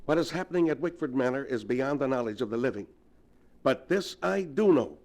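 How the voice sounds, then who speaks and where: Casting: man as solemn learned doctor, mid-range voice, ominous measured storyteller for vintage radio horror. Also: mid-range voice, lively intonation, a little mellow, close to an everyday voice. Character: grave, learned doctor versed in the supernatural. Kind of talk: 1940s radio acting.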